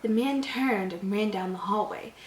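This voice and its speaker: A woman voicing a frightened young boy who recounts an attack in a hushed, dramatic storytelling manner. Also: mid-range voice, normal speed, recorded from a distance, slight background noise, close to an everyday voice. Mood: hushed dread. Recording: echoey room.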